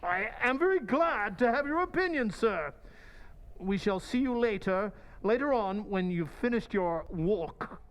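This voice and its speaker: An actor playing a formal, somewhat hesitant colonel who owns racehorses, in an old-time radio drama. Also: male voice, high-pitched, lively intonation, a little slow. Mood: stiffly courteous. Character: stiff, formal colonel, racehorse owner, adult man.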